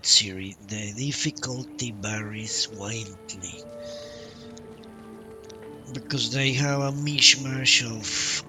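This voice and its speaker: A man giving casual live commentary on a game he is playing, musing and a little hesitant as he goes. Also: medium pitch. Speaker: game streamer. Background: game music.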